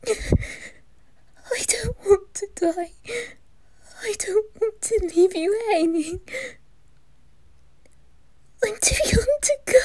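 A woman plays a lovestruck teenage boy in an intimate audio roleplay. The voice is high and breathy, with slow, hesitant phrasing. He sounds trusting and vulnerable, recorded close and dry. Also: no echo. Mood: tearful, afraid. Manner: breathy, hushed, hesitant delivery. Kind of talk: whispered ASMR roleplay acting.

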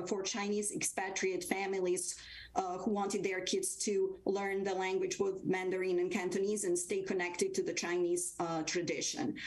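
A woman's voice: mid-range voice, a little mellow, rough recording. Hesitant woman guest on a video call. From Serbia. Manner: hesitant, many fillers and restarts. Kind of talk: remote interview storytelling. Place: wood-paneled room, indoors.

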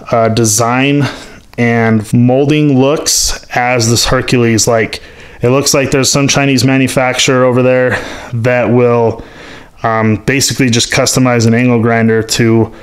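A man giving a casual, unscripted spoken review of his tools, frank and rambling, with plenty of ums and restarts. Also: mid-range voice. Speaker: workshop YouTuber reviewing tools. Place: metal-walled home workshop.

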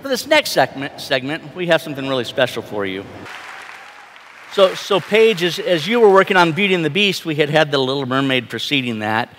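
A man's voice: fairly high pitch, big pitch swings, fairly quick, noisy background. A middle-aged man hosting a live stage event with enthusiasm through a hall PA. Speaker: stage host. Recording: large hall PA, crowd behind.

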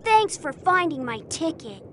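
A performer voicing a friendly, trusting child in a video game, eager and expressive. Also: sits high, a little slow, full character voice. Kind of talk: video game character acting. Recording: faint train noise.

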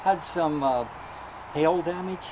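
A man giving a casual tour of his cars, explaining engines in a relaxed, matter-of-fact way with the odd chuckle. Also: on the high side, takes it slowly, noisy background. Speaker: upholsterer showing his cars. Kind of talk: casual shop tour chat.

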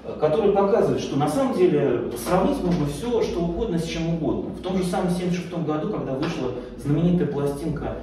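A man's voice: fairly high pitch, expressive, fast talker, few pauses, warm tone. A middle-aged man giving an informal lecture, talking off the cuff and hesitantly searching for words. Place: small indoor lecture room, far camera. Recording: echoey room, distant mic, faint noise.

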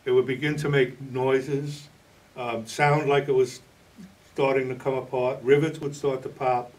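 A man in his sixties explaining things as a television interview guest, in a halting, measured way. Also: medium pitch, level tone, recorded from a distance, rough recording. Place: indoor TV studio, green screen.

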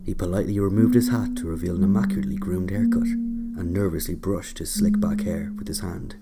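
A man narrating a scripted autobiographical story in a measured, dry way. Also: deep voice, fairly even intonation. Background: loud music.

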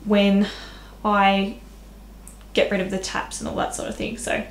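A woman's voice: mid-range voice, completely flat delivery, unhurried. A woman, giving a casual, candid tour of her small laundry room as a home decor vlogger, filmed on a handheld camera. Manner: chatty, candid, self-deprecating. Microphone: handheld camera at close range. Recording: echoey small room, faint room hum.

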